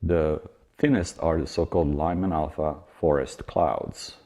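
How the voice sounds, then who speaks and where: Very deep, gravelly male lecturer. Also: unhurried, mellow. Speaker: university lecturer. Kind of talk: academic astrophysics lecture.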